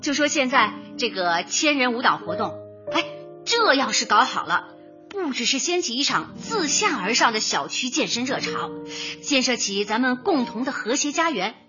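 A woman's voice: high-pitched, big pitch swings, moderate pace. An actress playing an earnest, cheerful young woman who runs a community office, coaxing tactfully in a light radio comedy. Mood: rousing, persuasive. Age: young adult. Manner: eager, coaxing, speechifying in slogans. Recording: dull lo-fi audio with music behind.

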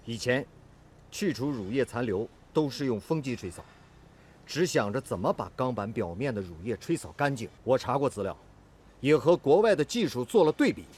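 A man's voice: medium pitch, very animated, moderate pace, darkish tone, slightly rough. A male actor playing a stubborn, inventive steel-mill technician and mentor in a Chinese radio drama. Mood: thoughtful.

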